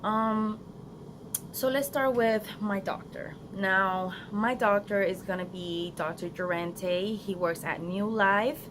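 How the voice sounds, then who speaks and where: A woman's voice: mid-range voice, fairly even intonation, slow. A young woman with a Spanish accent, Spanish her first language, chatting earnestly in an unedited phone vlog outdoors, with many ums and restarts. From Florida, United States. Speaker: vlogger. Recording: phone recording outdoors with clear background noise.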